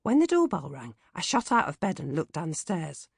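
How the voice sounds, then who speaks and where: A British woman reading comic first-person audiobook narration in a droll, expressive manner. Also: sits low, normal speed, vocal fry, breathy edge, dry recording, studio quality. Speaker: author reading own audiobook.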